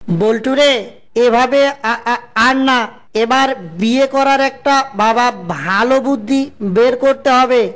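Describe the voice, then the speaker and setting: A woman's voice: high-pitched, steady tone, measured pace. A woman voicing a scheming male cartoon fox, an eager suitor who stutters heavily on syllables. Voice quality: heavy stutter, repeating syllables. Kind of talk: cartoon voice acting.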